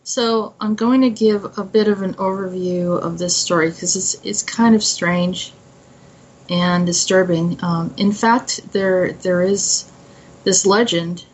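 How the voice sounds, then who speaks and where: A woman's voice: medium pitch, steady tone, slow pace, slight background noise, poor audio. A woman hosting her channel, giving an informal explanation to her viewers. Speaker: channel host and author.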